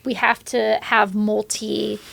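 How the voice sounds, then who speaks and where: A woman's voice: mid-range voice, little pitch movement, slow pace, vocal fry, a bit bright, no room sound. A woman co-hosting a podcast who asks rambling questions full of fillers. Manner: rambling questions with many likes. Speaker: podcast co-host. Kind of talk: casual interview chat.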